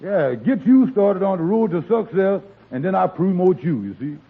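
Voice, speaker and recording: An actor playing a scheming, smooth-talking male would-be promoter with wheedling dialect speech, in 1940s radio comedy. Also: high-pitched, animated, moderate pace, faint music behind, heavily acted. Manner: wheedling, fancy-word talk, dialect speech. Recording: tinny old radio broadcast recording.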